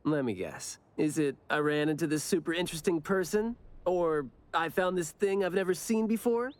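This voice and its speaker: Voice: medium pitch, animated, moderate pace, close to an everyday voice. A performer voicing a laid-back, chatty young investigator in an anime game, with a casual, teasing manner. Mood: casual, musing.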